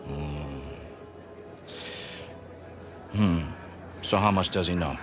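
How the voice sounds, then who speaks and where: Very deep, self-assured American man. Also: unhurried, music in the background. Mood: cool. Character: smooth rich American schemer, confident, entitled young man. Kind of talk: cinematic game acting.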